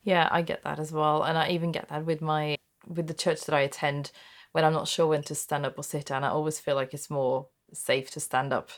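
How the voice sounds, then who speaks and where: Norwegian lady, really low voice, chatting thoughtfully, keeps restarting bits. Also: little pitch movement, fairly quick. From Norway. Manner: thoughtful, personal, frequent self-corrections. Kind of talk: conversational discussion.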